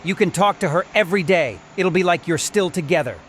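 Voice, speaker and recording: A man playing a steady, practical army sergeant in a horror audio comic. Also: high-pitched, brisk. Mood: sympathetic. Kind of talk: dramatic audio comic acting. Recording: noisy vehicle sound effects behind.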